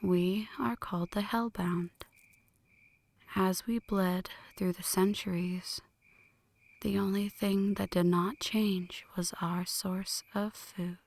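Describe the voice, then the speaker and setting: Woman as a slow, whispering, seductive vampire woman. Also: mid-range voice, fairly even intonation, no room sound. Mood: teasing, seductive. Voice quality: hushed, breathy whispering. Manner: slow, teasing, poetic. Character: flirty, brooding female vampire, seductive and melancholic. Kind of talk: ASMR roleplay, scripted dramatic monologue.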